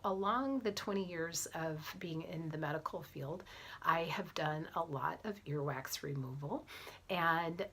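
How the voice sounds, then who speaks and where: A middle-aged woman with a cold, chatting to camera as she tells a story, often dropping into soft whispered asides. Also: low voice. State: has a cold. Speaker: vlogger, physician assistant and fitness coach.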